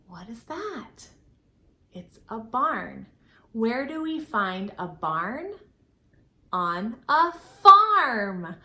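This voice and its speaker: Animated, bright-voiced woman teaching young children. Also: a little high. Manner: simple, encouraging, instructional phrasing aimed at young children; exaggerated, animated delivery. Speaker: children's storytime host and teacher. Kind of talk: children's storytime lesson with questions and sing-song. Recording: roomy, echoing indoor sound.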